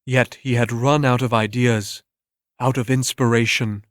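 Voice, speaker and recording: A man reading a scripted story as narrator, in a measured way with dramatic pauses. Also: medium pitch, fairly even intonation, no echo, clean studio sound.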